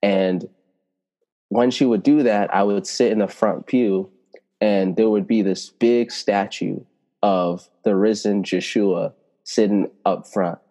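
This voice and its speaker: A man whose first language is Italian, telling personal spiritual stories in an earnest, reflective way, slowing down to stress key words. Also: fairly low pitch, fairly even intonation, mellow, big-room sound. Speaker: spiritual teacher and healer.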